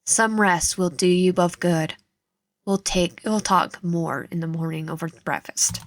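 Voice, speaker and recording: Motherly elderly innkeeper read by a woman, gentle and welcoming, lowish pitch, visual novel, echoey room. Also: a little slow. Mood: fond, gentle. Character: kindly old granny innkeeper, welcoming and motherly. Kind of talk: visual novel character reading. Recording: echoey room, faint music behind.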